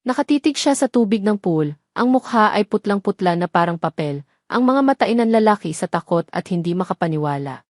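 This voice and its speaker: A woman's voice: medium pitch, darkish tone, no room sound, clean studio sound. A woman narrating a scripted romantic drama as a storyteller, in a dramatic reading style.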